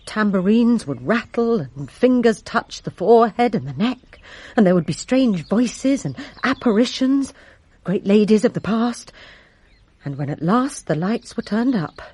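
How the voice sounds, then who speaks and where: A woman's voice: mid-range voice, animated, unhurried, a little mellow, breathy edge, no room sound. A woman playing a servant giving formal, deferential testimony in a radio drama, composed yet resentful of a rival. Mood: measured recounting. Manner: formal, deferential, precise storytelling.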